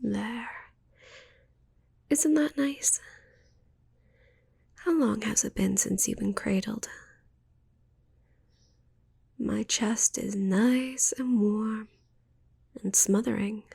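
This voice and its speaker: Woman as a slow, breathy, whispering possessive witch. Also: medium pitch, warm tone, no room sound, heavily acted. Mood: smothering affection. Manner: slow, cooing, condescending. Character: possessive giant yandere witch, sweetly menacing and doting. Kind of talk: whispered ASMR roleplay.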